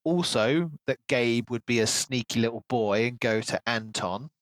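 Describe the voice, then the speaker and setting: A man's voice: medium pitch, normal speed, no echo, poor audio. Man chatting casually, close dry sound. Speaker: podcast co-host. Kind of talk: casual book discussion.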